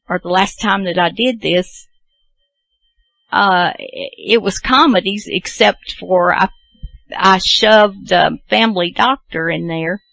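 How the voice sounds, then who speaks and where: A woman's voice: medium pitch, gravelly, a bit bright, dry recording. A woman hosting a radio show, chatting casually in a rambling, self-deprecating way as she introduces programs.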